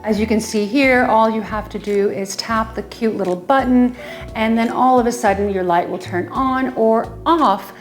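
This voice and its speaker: A woman's voice: fairly high pitch, normal speed, faint music behind. A woman giving a casual, enthusiastic product demo in her home, gushing about favorite items with frequent ums. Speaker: home design vlogger. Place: her home, closet and kitchen.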